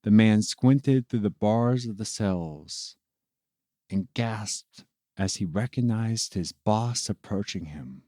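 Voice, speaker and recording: Male story narrator: relaxed, dark-toned delivery, slow and deliberate, with theatrical phrasing for scripted tales. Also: lowish voice, dry recording, clean studio sound.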